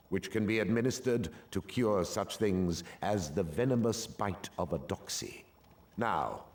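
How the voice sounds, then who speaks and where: Slow, low-voiced stern potions professor. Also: male voice, full character voice. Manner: slow, deliberate, formal lecturing. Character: stern adult male potions professor at wizard school. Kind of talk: video game cutscene acting.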